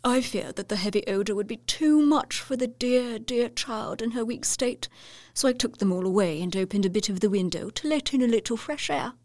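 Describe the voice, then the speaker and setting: A woman's voice: on the high side, moderate pace, darkish tone, no echo, clean studio sound, lightly acted. An actress playing a genteel, fussy, self-satisfied middle-aged Victorian mother in an audio drama. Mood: pleased, fussing.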